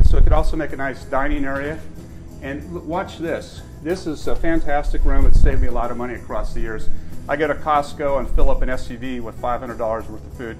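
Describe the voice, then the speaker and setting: An older man chatting enthusiastically as he walks through his house, pointing out its rooms. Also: a little high. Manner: chatty, enthusiastic, frequent restarts. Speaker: homeowner giving a house tour. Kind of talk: casual walking tour narration. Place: inside a house, moving room to room. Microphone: camera mic, filmed walking behind him.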